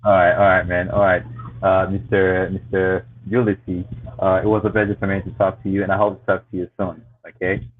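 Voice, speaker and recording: A man's voice: deep voice, level tone, fairly quick. A man from Haiti hosting an interview, encouraging and casual, with many short replies. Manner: warm, encouraging, frequent backchannels. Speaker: host. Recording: tinny phone-like line.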